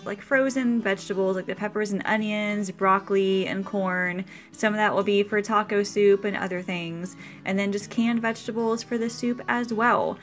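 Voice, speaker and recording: Chatty woman explaining meal prep. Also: medium pitch. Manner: chatty, practical, explaining step by step. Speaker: meal prep video host. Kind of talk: casual cooking voice-over.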